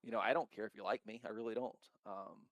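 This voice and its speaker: A man who founded a crypto token, talking in a casual podcast chat, outspoken and blunt with a loud voice and free swearing. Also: on the low side, fairly even intonation, fast, no echo, muffled recording.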